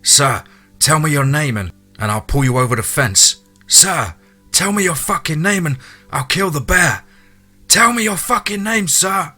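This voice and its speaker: A man voicing a frantic, profane armed man who yells urgent orders at a stranger in a horror story. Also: on the high side, animated, unhurried, faint music behind, pitched above the performer's own voice, exaggerated voice. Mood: frantic yelling.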